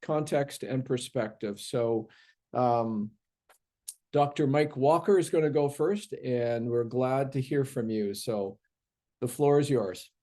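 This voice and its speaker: A man's voice: mid-range voice, takes it slowly, warm tone. A man moderating a seminary panel on humility, introducing the speakers with many fillers and restarts.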